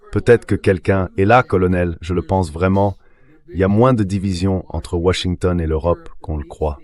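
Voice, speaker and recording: A man delivering dubbed political commentary as an interview guest, reasoning in a measured way and posing rhetorical questions. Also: low voice, darkish tone.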